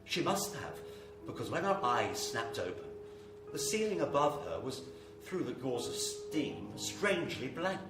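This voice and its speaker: A man's voice: mid-range voice, very animated, a little slow, music in the background. A man playing a grave, theatrical narrator of a horror radio drama, telling it with suspense. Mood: suspenseful, ominous. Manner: theatrical, suspenseful, building to intensity. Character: grave, ominous male horror story narrator. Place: live performance venue with audience. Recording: echoey live hall, distant mic, faint noise.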